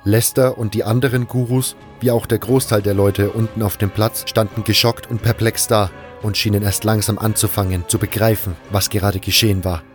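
Low-voiced man narrating a story. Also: little pitch movement, brisk, few pauses, soft background music. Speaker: first-person narrator. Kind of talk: scripted audio drama narration.

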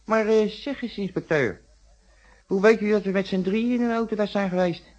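High-pitched, cagey working-class man played by an actor. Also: normal speed, darkish tone, highs cut off, lightly acted. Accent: Netherlands Dutch. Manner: colloquial, defensive, slangy. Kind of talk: Dutch radio detective play acting.

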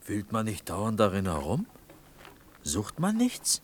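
An actor in a radio play voicing an earnest, stubborn village potter with a plain, hesitant yet insistent way of talking. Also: male voice, lowish voice, huge pitch swings, slow, a little hiss or hum, heavily acted. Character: earnest, stubborn young village potter searching for his cousin.

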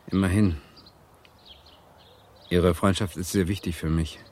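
An actor playing a wry, self-doubting Swedish literature lecturer who narrates a radio drama in a reflective, deliberate way. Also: male voice, deep voice, fairly even intonation, a little mellow. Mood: fond. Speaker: narrator and lead character.

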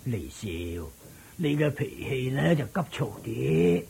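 An actor playing an anxious, deferential old family servant in a radio drama, with a raspy, creaky voice and a stammering, sighing way of talking. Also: male voice, medium pitch, expressive, slow pace, darkish tone, exaggerated voice. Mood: hesitant, worried. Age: older.

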